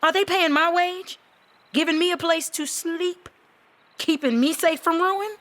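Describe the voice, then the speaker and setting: Brash high-voiced mechanic. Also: female voice, measured pace, a bit bright. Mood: teasing. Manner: teasing, slangy. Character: brash, cheeky working-class woman mechanic, fun-loving and blunt. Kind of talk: audio drama acting.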